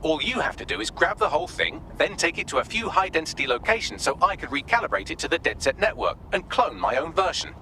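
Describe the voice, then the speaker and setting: Sardonic high-pitched AI assistant, very animated. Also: male voice, fast, creaky, hardly any pauses, slight background noise, exaggerated voice. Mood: sarcastic, brisk. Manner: dry sarcasm, breezy and wordy. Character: witty, sardonic male AI hacker assistant. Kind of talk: scripted video game dialogue.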